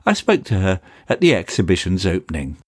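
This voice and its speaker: A man hosting a podcast, reading a polished script and then interviewing a guest in a measured way. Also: sits low, huge pitch swings, darkish tone. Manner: measured, polished presenter delivery. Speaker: podcast host.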